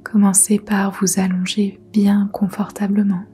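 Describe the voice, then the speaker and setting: A woman leading a guided yoga nidra meditation in a soft, breathy near-whisper, soothing and gentle. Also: medium pitch, flat delivery, faint music behind. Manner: soothing, gentle, unhurried instruction.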